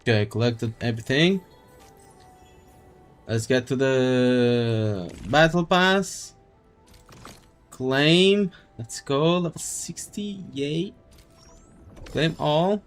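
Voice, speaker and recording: A man's voice: mid-range voice, very slow, pauses often. A man streaming a game, chatting casually and rambling as he thinks aloud. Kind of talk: casual live-stream chat while playing. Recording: game music behind the voice.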